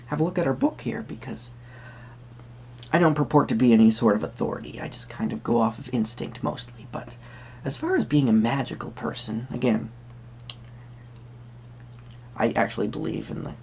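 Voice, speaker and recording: A performer playing a whimsical magical tarot reader, musing hesitantly in a soft-spoken roleplay with a creaky voice. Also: mid-range voice, a little hiss or hum. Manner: hesitant, musing, pausing with hums.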